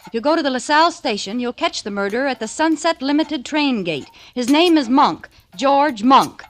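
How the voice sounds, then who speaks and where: Cool scheming wife, curt, commanding, slightly high pitch, 1940s radio drama. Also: female voice, lively intonation, normal speed, a bit bright, a little hiss or hum. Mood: urgent, clipped.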